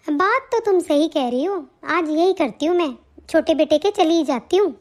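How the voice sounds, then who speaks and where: Woman voicing an older cartoon mother, very high pitch, plaintive, homely, worried about family, affectionate. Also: animated, normal speed. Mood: resigned. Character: weary, affectionate older mother-in-law, fretting over family. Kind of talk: Hindi family cartoon story dialogue.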